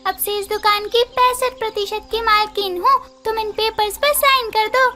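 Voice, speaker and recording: A woman's voice: very high voice, steady tone, moderate pace, bright, forceful tone, soft background music. A woman voicing a small, assertive female bird who runs a shop in a children's cartoon, speaking emphatically. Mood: indignant, demanding.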